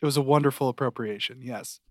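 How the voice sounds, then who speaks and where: A man's voice: medium pitch, fast talker, no room sound, studio quality. A man speaking as a podcast co-host in a casual conversation about television. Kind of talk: casual TV review podcast chat.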